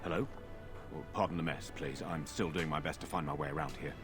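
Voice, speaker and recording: An actor playing a humble, apologetic village smith in a fantasy game, talking in a plain, rustic way. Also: male voice, rumbling low, fairly quick, a bit bright, faint music behind. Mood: apologetic, subdued. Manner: plain, rustic, folksy speech.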